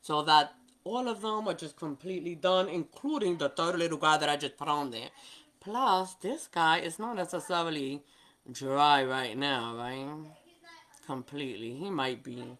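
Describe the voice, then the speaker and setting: A woman's voice: deep voice, unhurried, fairly bright tone. Woman, New York accent with Spanish influence, native Spanish speaker, chatty livestream nail host, laughs often. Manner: chatty, talks to viewers by name, laughs often. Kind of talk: casual live chat while demonstrating.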